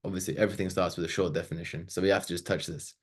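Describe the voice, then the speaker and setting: Man, thirties, lowish voice, loose chatty webinar delivery. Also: level tone, very fast. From Sweden. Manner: loose and chatty, with fillers and asides. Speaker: webinar presenter. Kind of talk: casual slide-based webinar talk.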